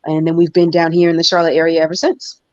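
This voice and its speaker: Measured, reflective woman, muffled dry sound. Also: deep voice, little pitch movement, a little mellow, no room sound. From New York. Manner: reflective, thoughtful, measured with fillers. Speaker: singer guest. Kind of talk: casual interview talk.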